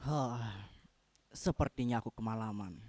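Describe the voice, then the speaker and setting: An actor playing an anxious, idealistic husband in a dramatic reading, speaking in an earnest, apologetic manner. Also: male voice, on the low side, unhurried, a little mellow. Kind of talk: dramatic reading of a stage play.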